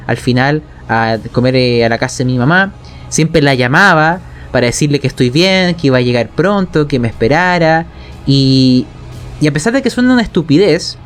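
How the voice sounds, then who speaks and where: A man with a Chilean accent explaining narration technique as a game master, colloquially, often checking in with his listeners. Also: fairly high pitch. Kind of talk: explanatory conversation on tabletop role-playing narration.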